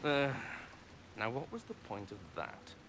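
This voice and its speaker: Noisy backdrop with clicks, low-pitched scheming nobleman, formal, condescending, animated intonation, video game drama. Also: measured pace, heavily acted. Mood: disdainful. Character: smug, courtly, scheming nobleman. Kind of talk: video game cutscene acting. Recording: clearly noisy background with clicks.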